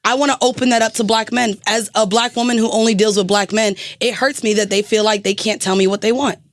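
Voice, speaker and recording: A woman's voice: on the high side, steady tone, fast talker, dry recording. American woman chatting on a podcast, super frank, swears a lot, really dry sound. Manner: frank, profane, emphatic, repeats herself for emphasis. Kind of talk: casual podcast conversation.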